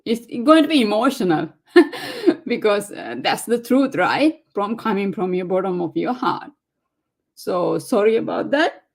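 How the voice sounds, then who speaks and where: A woman's voice: mid-range voice, very animated, a little slow, creaky. A woman from Sri Lanka whose first language is not English, giving a heartfelt, emphatic motivational testimony in non-native English. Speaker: guest speaker.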